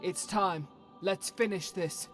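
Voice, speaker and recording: A woman's voice: on the low side, takes it slowly. A woman playing a fierce, zealous female warrior companion, intense and devout, in a dramatic video game performance. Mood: resolute, battle-ready. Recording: music behind the voice.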